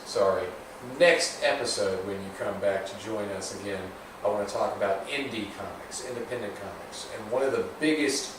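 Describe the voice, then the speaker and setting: A man in his thirties gives an earnest, motivational little speech on a casual podcast. His voice sits a bit low. He is well back from the mic in a very echoey room with clear background noise. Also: recorded from a distance. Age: 30s. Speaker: podcast host.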